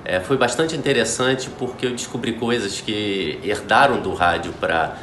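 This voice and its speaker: A man giving an informal, halting testimonial. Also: a bit bright. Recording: echoey room with faint rumble.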